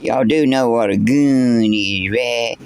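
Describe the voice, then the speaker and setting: A man's voice: mid-range voice, takes it slowly, a little mellow, clean tone. A man playing an old backwoods storyteller, rambling folksy and tripping over repeated words as he tells a tall tale. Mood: yarn-spinning. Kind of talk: character storytelling.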